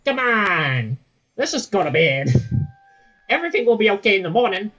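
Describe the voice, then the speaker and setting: A woman's voice: mid-range voice, big pitch swings, moderate pace, fairly bright tone. A woman voicing a cheeky male dragonfly who jokes and stammers nervously, over faint music. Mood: playful. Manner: stammering, joking, bouncy delivery.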